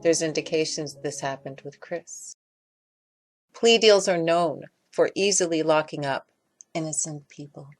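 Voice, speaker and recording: A woman's voice: low-pitched, unhurried. A woman reading a scripted critical commentary as a video essay narrator. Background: music.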